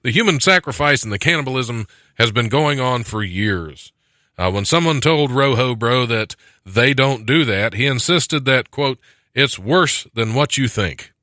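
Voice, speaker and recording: A man's voice: mid-range voice, animated, measured pace, pushed and bright, no echo, clean studio sound. A man hosting a casual comedy podcast, reading news items and breaking off into sarcastic, joking asides. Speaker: podcast host. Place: studio.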